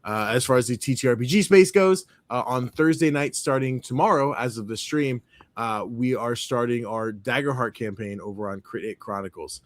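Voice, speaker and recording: A man's voice: medium pitch, moderate pace, no echo. A man, a player in an actual play game, casually signing off and plugging his projects with lots of "uh"s. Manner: relaxed, filler-heavy plugging. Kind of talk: casual show sign-off.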